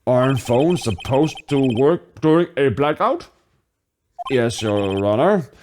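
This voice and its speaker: Someone playing a smug male prosecutor, animated delivery. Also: mid-range voice, slow, slight background noise, pitched below the performer's own voice. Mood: formal. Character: smug, formal middle-aged male prosecutor. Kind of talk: video game dramatic reading.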